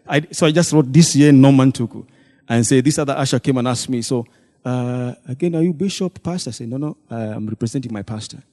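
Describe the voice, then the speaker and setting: A man speaks to a church from the front, retelling a meeting and slipping into the voices of the people in it. His voice is mellow and mid-pitched. A long reverb trails each phrase through the big hall. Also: fast. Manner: storytelling, acting out quoted dialogue. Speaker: deacon addressing a church congregation.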